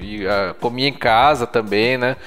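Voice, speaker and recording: A man in his forties co-hosting a casual podcast chat, relaxed and joking. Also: medium pitch, a little slow, soft background music. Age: 40s. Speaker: podcast co-host.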